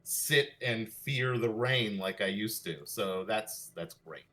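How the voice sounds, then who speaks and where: A man's voice: fairly low pitch, takes it slowly, bright, forceful tone. A man co-hosting a casual chat, dropping in brief, wry remarks. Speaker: co-host.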